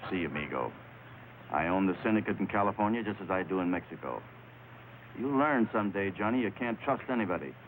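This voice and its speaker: An actor playing a smug, menacing Mexican crime syndicate boss, taunting and self-assured, in old radio drama style on a hissy recording. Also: male voice, sits low, animated, a little fast, phone-quality sound. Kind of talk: mid-century radio drama acting.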